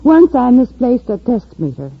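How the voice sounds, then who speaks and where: A woman's voice: fairly high pitch, sing-song, moderate pace, slightly rough, full character voice. An actress playing an earnest female ally of a space patrol in an old-time radio serial, with dramatic delivery. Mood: thoughtful. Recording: tinny band-limited old radio recording.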